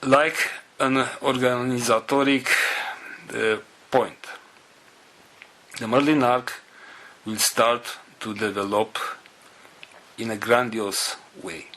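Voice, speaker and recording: A man with a non-native English accent giving a spiritual talk to followers in a solemn, halting manner, in short phrases. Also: mid-range voice, steady tone, a bit bright. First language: not English. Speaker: spiritual group leader.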